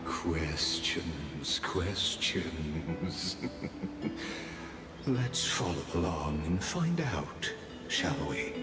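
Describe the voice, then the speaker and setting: An actor playing a poised, enigmatic storyteller in a video game, speaking in a theatrical, measured, teasing manner. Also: male voice, deep voice, full character voice. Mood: teasing, mysterious. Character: poised, enigmatic male storyteller guiding visitors through memories. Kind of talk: dramatic game dialogue narration. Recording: echoey long reverb, music behind.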